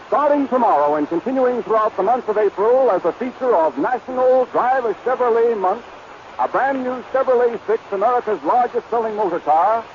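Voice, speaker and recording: High-pitched male 1930s radio announcer. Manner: formal, emphatic, cleanly phrased sales delivery. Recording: muffled, hissy 1930s radio recording.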